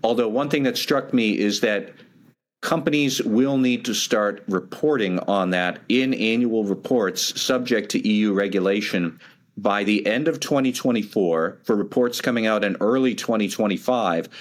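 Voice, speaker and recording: A male podcast co-host with a lowish voice. He explains things in a steady, conversational manner, with a slightly wry edge. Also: normal speed. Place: at a conference.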